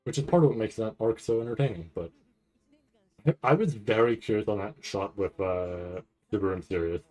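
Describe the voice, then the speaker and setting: A man giving casual live reaction commentary, nervous and rambling, halting and repeating his words as he goes. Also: fairly low pitch, dark tone, low-quality recording. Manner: anxious, nervous anticipation. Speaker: anime commentator reacting to a livestream event.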